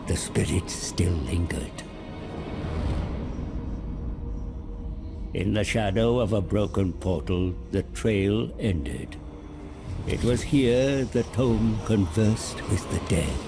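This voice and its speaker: An actor playing a cursed, scheming old scholar, narrating a dark fantasy tale in a theatrical, portentous, deliberate way. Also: male voice, on the low side, lively intonation, music in the background. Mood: grave, portentous. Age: older. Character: cursed, scheming old male scholar, servant of a magic tome. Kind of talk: dramatic scripted fantasy narration.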